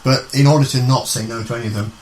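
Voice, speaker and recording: A man's voice: medium pitch, steady tone, rapid-fire, a little mellow, a little hiss or hum. A man hosting a conversational radio interview, recapping what was said and repeating phrases as he goes. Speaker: radio show host.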